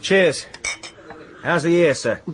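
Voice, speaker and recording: An actor playing a deferential British police sergeant, a loyal sidekick with a polite, gently dry manner in a radio drama. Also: male voice, on the high side, slow. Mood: amiable, hesitant.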